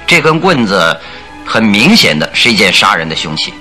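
Shrewd detective, very low voice, animated intonation, deliberate, compassionate, radio drama. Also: male voice, soft background music, full character voice. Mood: measured, probing. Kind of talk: Mandarin radio drama acting.

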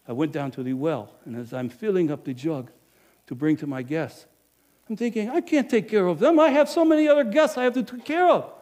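A man playing a businesslike village innkeeper in an animated first-person monologue, emphatic and speaking straight to the audience. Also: medium pitch, close to an everyday voice. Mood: guilty. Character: businesslike male village innkeeper, proud then guilty.

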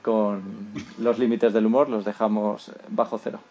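A man's voice: fairly low pitch, level tone, normal speed, dark tone, dull audio. A man with a Spanish accent hosting an unscripted panel interview on a podcast. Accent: Spanish (Spain). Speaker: podcast host.

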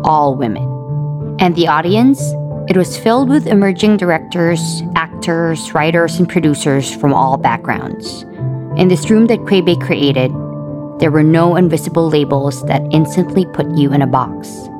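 A woman narrating a documentary feature with a measured, storytelling delivery. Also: low voice. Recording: music playing behind the voice.

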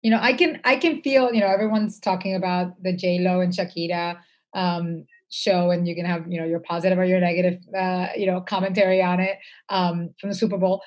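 A woman's voice: lowish voice, steady tone, fairly quick, darkish tone, muffled recording. A woman speaking as an interview guest, conversational, reflective and earnest, with emphasis on her points.

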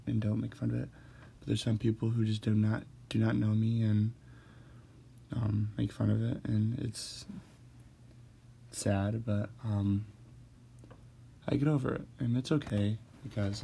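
A man who stutters, talking hesitantly with many pauses and fillers as he hosts a personal monologue. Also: lowish voice, flat delivery, vocal fry, mellow, breathy edge. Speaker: host.

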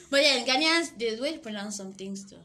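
A woman's voice: sits high, bright, forceful tone. A young woman with a Nigerian accent, chatting on a vlog in a blunt, complaining, emphatic way. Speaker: vlogger. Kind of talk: casual group chat. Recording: noisy background.

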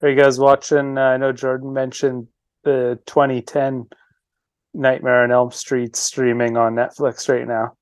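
A man's voice: medium pitch, level tone, a little slow, dry recording. A man co-hosting a casual podcast chat, playful and teasing, often restarting his words. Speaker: podcast co-host.

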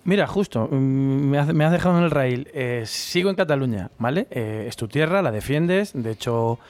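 A man's voice: medium pitch, animated, moderate pace, a little mellow, no echo. A man hosting an interview, asking casual questions and prompting his guest along. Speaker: host.

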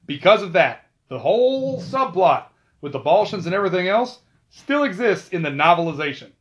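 A man casually talking through film trivia, chatty, with asides and quick self-corrections. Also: high-pitched, big pitch swings, a bit bright, muffled recording. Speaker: video host.

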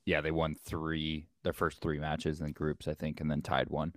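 Deep-voiced man hosting a sports podcast. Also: completely flat delivery, moderate pace, a bit bright, dry recording, studio quality. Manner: easygoing, brief reactions and hosting lines. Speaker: podcast co-host. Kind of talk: casual sports chat.

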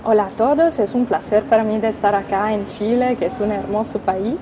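Young Italian-accented woman, mellow voice. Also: medium pitch, a little hiss or hum. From Italy. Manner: careful, halting, many filler sounds. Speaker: physician and interview guest. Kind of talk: radio interview.

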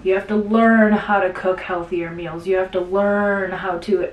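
A woman's voice: medium pitch, unhurried, lo-fi sound. Chatty stuffy-nosed woman, roomy sound. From central Wisconsin. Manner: chatty, rambling, animated. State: stuffy nose. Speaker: podcast co-host. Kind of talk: casual chat. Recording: roomy sound, mic at a distance.

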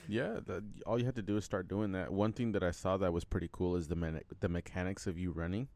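A man's voice: low voice, fairly even intonation, fast, darkish tone, no echo. A man co-hosting a podcast, casually explaining things in an easygoing conversational way. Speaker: podcast co-host.

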